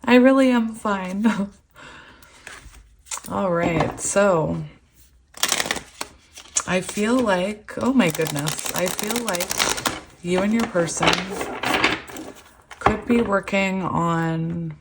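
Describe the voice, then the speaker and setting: A woman's voice: mid-range voice, extremely slow, long pauses, heavy background noise. A woman with a cold who has nearly lost her voice, casually chatting through a tarot reading and laughing between thoughts. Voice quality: hoarse. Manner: chatty, laughs often, trails off with fillers. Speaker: tarot reader.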